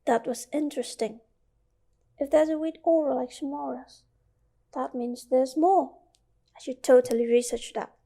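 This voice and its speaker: A woman's voice: high voice, normal speed, pauses often, warm tone, slight background noise. A woman playing a curious, earnest young student in an amateur radio drama, narrating and acting out her story. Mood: reflective, intrigued.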